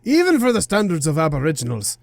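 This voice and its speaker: A man's voice: on the high side, wildly swooping pitch, quick pace, dry recording. A man voicing a haughty, protective guardian god from a video game, scolding in formal, emphatic speech. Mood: indignant. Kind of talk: video game dialogue read aloud.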